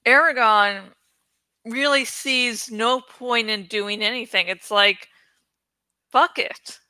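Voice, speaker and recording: A woman's voice: fairly high pitch, slow, pushed and bright, smooth, no echo. A woman host chatting in a rambling, informal, giggly way about a book, swearing freely. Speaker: host of a book read-along channel.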